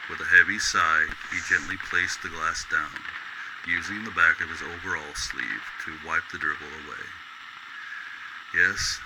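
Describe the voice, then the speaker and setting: A man narrating a scripted radio-style drama in a measured, solemn storytelling manner. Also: deep voice, very noisy.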